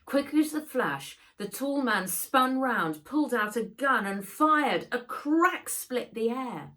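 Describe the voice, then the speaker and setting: A woman narrates a children's audiobook. She takes her time over each phrase, and her pitch moves in a lively, expressive way. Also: slow. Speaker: author reading own book.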